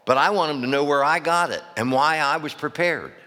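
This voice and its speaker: A man preaching a loud sermon as pastor, hollering to the congregation and calling for amens, his voice going hoarse. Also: on the high side, brisk. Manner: hollering, call-and-response preaching. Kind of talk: fiery sermon. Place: church sanctuary. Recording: long reverb of a church hall.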